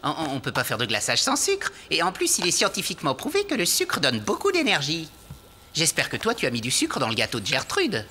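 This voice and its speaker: Bright, bouncy cartoon baker. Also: female voice, sits low, wildly swooping pitch, a little fast, a little hiss or hum, exaggerated voice. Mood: defensive. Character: scatterbrained, eager animal baker. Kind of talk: French children's cartoon dubbing.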